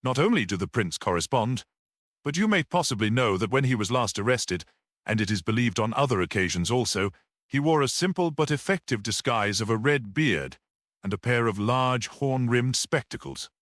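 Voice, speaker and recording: Casting: man reading as a polite, dry, self-assured storybook detective, formal and measured, with a swooping intonation. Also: medium pitch, lively intonation, a bit bright, no room sound, lightly acted. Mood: courteous, dry. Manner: formal, measured, clear and courteous.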